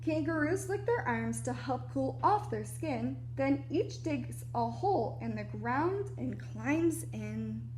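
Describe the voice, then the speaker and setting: A woman reading a children's picture book aloud like a preschool teacher, animated and explanatory, with little asides to young listeners. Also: high-pitched, slow pace, few pauses, noisy background.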